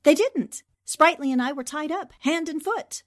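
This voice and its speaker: An actress playing a resolute, quick-witted newspaperwoman in a 1940s-style radio drama. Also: high-pitched, animated. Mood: relieved. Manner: decisive, urgent instructions. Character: Anne Rogers, quick-thinking, resolute newspaperwoman heroine.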